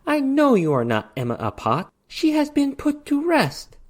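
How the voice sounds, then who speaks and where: A man voicing a calm, stern male ghost hunter in a story reading, speaking formally and deliberately. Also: very high-pitched, pitched above the performer's own voice, exaggerated voice.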